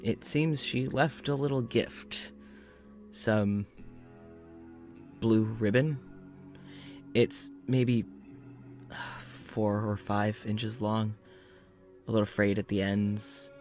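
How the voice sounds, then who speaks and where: Man acting as a weary, slow-talking, exasperated doctor. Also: lowish voice, a little mellow, soft background music. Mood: pained, weary. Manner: exasperated, sighing, halting. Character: weary, frustrated amnesiac male doctor keeping an audio journal. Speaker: voice actor playing podcast's lead character. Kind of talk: audio drama, spoken journal monologue.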